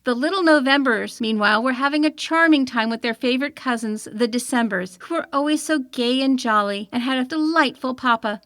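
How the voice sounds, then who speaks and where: A woman reading an old-fashioned children's story aloud in an expressive storytelling manner. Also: high-pitched, brisk, hardly any pauses, dry recording, clean studio sound. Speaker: storybook reader.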